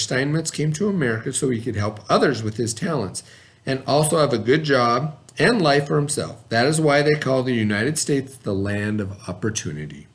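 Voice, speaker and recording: Man teaching a children's read-aloud lesson. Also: mid-range voice. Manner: patient, asks children questions and pauses.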